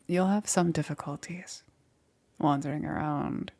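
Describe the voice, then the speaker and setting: A woman playing a gentle, apologetic witch in an intimate ASMR roleplay, speaking softly and hesitantly in a breathy near-whisper. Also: sits low, heavily acted. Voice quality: soft, breathy, often whispered. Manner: hesitant, halting, reassuring. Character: gentle, apologetic witch necromancer caring for her undead patient.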